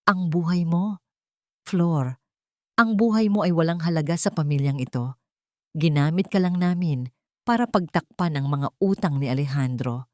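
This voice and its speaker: A woman's voice: low voice, moderate pace, pauses often, mellow, no echo, studio quality, close to an everyday voice. A woman with a Filipino accent voicing a mocking, heartless female villain who taunts in an audiobook drama. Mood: taunting. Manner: scornful, taunting.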